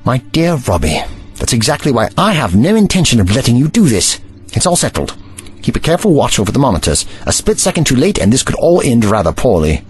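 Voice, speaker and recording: A man's voice: medium pitch, very animated, a little fast, soft background music, natural voice. A man playing an older, commanding and protective time traveler in an audio drama, speaking formally and precisely in an avuncular way. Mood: measured, wry. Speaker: actor in a sci-fi audio drama.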